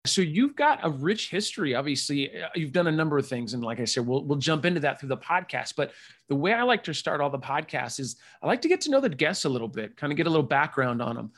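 Man, podcast host, quick, upbeat, chatty, casual interview. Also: on the high side, sing-song, a bit bright. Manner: upbeat, chatty, many asides.

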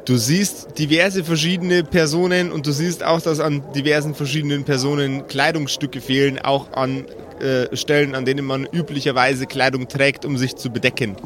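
Male game master, mid-pitched, improvised narration that circles back on its own words. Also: level tone, moderate pace, fairly bright tone.

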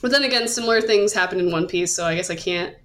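A woman's voice: on the low side, quick pace, fairly bright tone. A woman hosting a podcast, talking casually about comics in a hesitant, self-qualifying way. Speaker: podcast host. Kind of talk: casual discussion of manga.

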